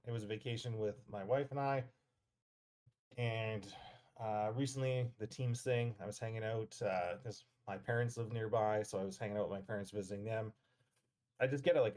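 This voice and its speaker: A man's voice: lowish voice, darkish tone. A man in his thirties from Canada, chatting casually as a panelist on a podcast. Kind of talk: casual chat.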